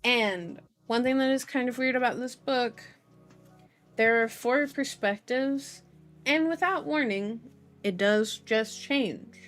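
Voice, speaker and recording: A woman's voice: on the high side, slow, fairly bright tone. A woman reading a novel aloud in an ASMR style with chatty, playful asides, as the host of her channel. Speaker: book reader, channel host. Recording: music behind the voice. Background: music.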